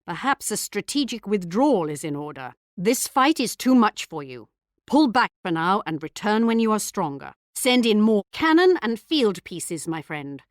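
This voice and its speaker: A woman's voice: medium pitch, big pitch swings, normal speed, no echo, close to an everyday voice. A regal British queen from a strategy game, acted by a woman, speaks with formal poise and proud, gracious courtesy. Her delivery swoops expressively through the lines. The recording is dry and close, with no room around it. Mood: haughty, teasing, then commanding, confident. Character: regal English queen, gracious, proud, politely cutting war ally.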